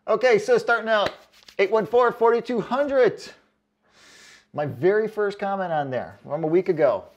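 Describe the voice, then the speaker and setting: A man's voice: high voice, expressive, moderate pace, dull audio. A man in a casual comedic rant, reading out comments as an online host, sarcastic, mocking and freely swearing, with emphatic delivery. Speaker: online boot review video host.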